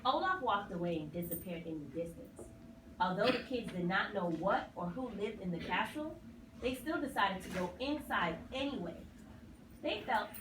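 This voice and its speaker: A woman narrating a scripted children's puppet story. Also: mid-range voice. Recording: roomy, distant mic, clear noise.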